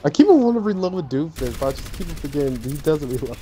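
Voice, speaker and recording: Lively mid-pitched gamer, noisy chat. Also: very fast. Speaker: online game player. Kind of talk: casual gaming chat.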